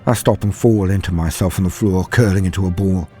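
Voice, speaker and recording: Man acting as a terrified, halting soldier in horror tale. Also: deep voice, steady tone, mellow, husky, soft background music. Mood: dazed dread. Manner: halting, fearful first-person narration. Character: terrified male soldier fleeing a horde, in agony. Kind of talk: dramatic horror storytelling.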